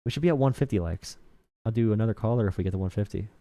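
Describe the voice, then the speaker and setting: A man's voice: lowish voice, dark tone, dry recording. Young guy hosting a call-in stream, dry close sound, slangy and snarky. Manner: casual, slangy, quick to mock chat. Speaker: livestream host. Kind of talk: casual livestream chat with callers.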